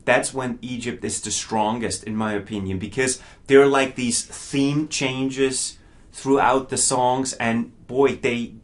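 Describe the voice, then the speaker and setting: A man's voice: medium pitch, fairly even intonation, slow. Enthusiastic non-native English-speaking man reviewer. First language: not English. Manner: enthusiastic, stumbling over repeated words. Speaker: album reviewer. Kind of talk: casual spoken review.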